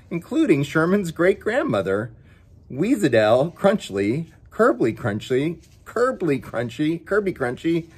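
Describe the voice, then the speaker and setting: Male children's story time reader: very animated, husky-edged, playful and chatty, speaking straight to the kids. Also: on the high side. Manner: playful, coaxing, talks directly to young viewers. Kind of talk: animated children's picture book read-aloud with chatty asides.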